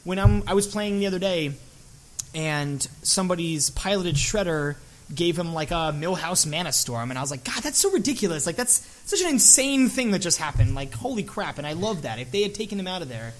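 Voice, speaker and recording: A fairly high-voiced man chatting on a casual podcast, quick to get worked up. He exclaims and swears as he reacts, loud and animated. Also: low-quality recording. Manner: excitable, exclaims and swears freely. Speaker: podcast co-host.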